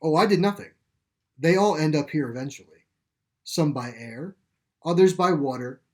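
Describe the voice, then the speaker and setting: A man reading a story plays a calm, gentle caretaker of an animal sanctuary, explaining things with assurance. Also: fairly high pitch, normal speed, close to an everyday voice. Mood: matter-of-fact. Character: calm, reassuring pipe-smoking male caretaker of animal sanctuary. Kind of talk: storybook character dialogue.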